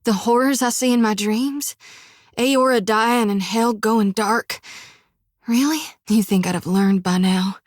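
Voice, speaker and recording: An actress voicing a devout young female reaper priestess haunted by visions, with a drawl, often hushed and whispery, recorded dry in a studio. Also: a little high, steady tone, a little slow, no room sound. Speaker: game character. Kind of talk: game voice acting.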